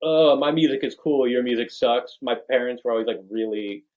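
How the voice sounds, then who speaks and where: A young man, a bass player, chatting loosely in a podcast interview, filling his talk with "like" and "you know". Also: moderate pace, darkish tone, lo-fi sound. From Venezuela. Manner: rambling, full of "like" and "you know".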